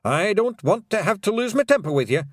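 A man voicing a small, boastful Scottish fairy warrior who blusters and shouts in a Scottish accent. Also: high-pitched, very animated, a little fast, dry recording, studio quality, pitched above the performer's own voice. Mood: defiant.

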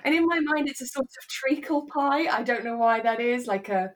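A woman co-hosting a podcast, chatting casually and telling stories with animated asides. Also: a little high, normal speed. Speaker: podcast co-host. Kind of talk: relaxed casual chat recapping a radio drama.

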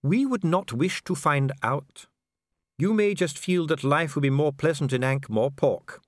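A man voicing a calm dwarf official with a measured, bureaucratic, smoothly courteous manner in an audiobook. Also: on the high side, no echo, studio quality, pitched above the performer's own voice. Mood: coolly polite. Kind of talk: audiobook character voice.

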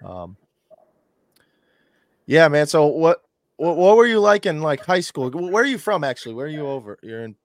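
A man's voice: on the high side, sing-song, moderate pace, pauses often, no room sound, clean studio sound. A young man hosting a casual podcast, upbeat and loose, laughing easily. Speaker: podcast host.

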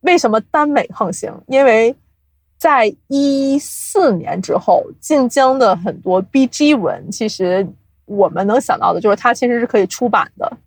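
A woman with a fairly high voice talks as a guest on a casual interview show. She explains the audio drama business eagerly and conversationally, prone to chuckling. The sound is very dry and close. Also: moderate pace, no echo. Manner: animated explainer, often breaks into chuckles. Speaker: guest, audio drama producer and company CEO.

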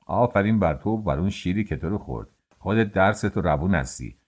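A man's voice: low-pitched, expressive. A man voicing a middle-aged male master baker who gives orders to his worker in a commanding, teasing way. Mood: bantering.

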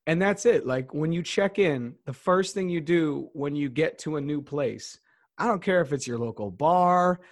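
A man's voice: on the high side, dry recording. Rambling, dry-sounding male podcast host. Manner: rambling, many restarts and fillers. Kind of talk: casual conversational interview.

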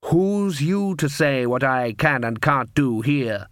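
A man's voice: medium pitch, animated, slow pace, fairly bright tone, dry recording, studio quality. A man voicing a proud, imposing voodoo woman with a haughty, formal manner in a storybook reading. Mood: haughty, defiant.

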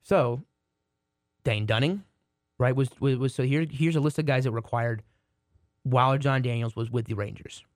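This is a man co-hosting a casual sports podcast, his voice hoarse from a cold. He rambles and restarts his sentences in a mid-range voice. The recording is dry and close. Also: mid-range voice, normal speed, long pauses, no echo. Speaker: podcast co-host.

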